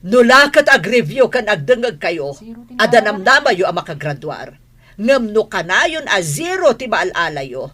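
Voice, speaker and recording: Strict female teacher for audio drama, woman performer; stern lectures, piercing tone, very lively intonation. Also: medium pitch, unhurried, husky, full character voice. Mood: stern, scolding. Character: strict, scolding female high school teacher. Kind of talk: amateur audio drama acting.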